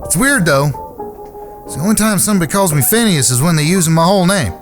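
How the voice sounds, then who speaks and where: A man's voice: fairly high pitch, expressive, quick pace, over music, lightly acted. Man acting a dim outlaw, swooping voice. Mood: confused. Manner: scatterbrained, folksy. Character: dim-witted, easily confused, cheerful male outlaw brother. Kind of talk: comedic western audio drama.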